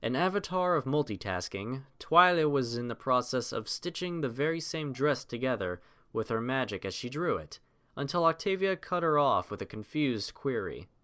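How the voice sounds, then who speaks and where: A man narrates scripted fiction in a medium-pitched voice. His delivery is measured and literary, with very varied intonation that shapes each phrase. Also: big pitch swings, bright, forceful tone.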